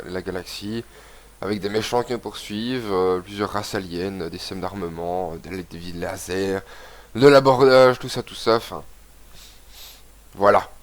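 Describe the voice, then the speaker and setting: A young man with a head cold talks through a game session, his voice a bit low and stuffy. He rambles casually and reads the on-screen text aloud as he plays. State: has a cold, congested. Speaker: gaming video host. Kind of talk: casual let's-play commentary.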